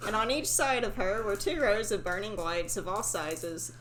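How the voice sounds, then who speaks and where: A woman's voice: medium pitch, lively intonation, moderate pace, crowd noise, rough recording. A woman reading a fairy tale aloud, casually, with sweary asides of her own. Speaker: story reader. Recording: faint background noise.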